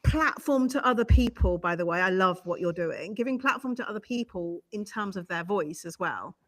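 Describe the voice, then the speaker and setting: A woman's voice: mid-range voice, animated, normal speed, a bit bright. A woman with a British accent hosting a live video-call broadcast. She rambles in a bubbly way and plays with her words. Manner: bubbly, rambling, playful word slips. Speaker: host. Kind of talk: casual live-stream interview.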